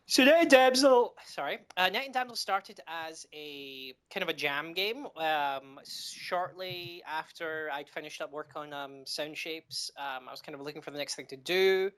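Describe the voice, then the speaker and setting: A middle-aged man from Scotland with a Scottish accent, answering interview questions with frequent pauses, fillers and restarts. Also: a little high, fairly bright tone, smooth. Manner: hesitant, many ums and restarts. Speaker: game developer guest.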